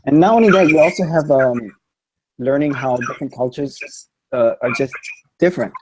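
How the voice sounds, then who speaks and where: A man speaking as a guest on a panel, hesitant with many pauses and ums. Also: medium pitch, background noise. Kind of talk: casual panel discussion.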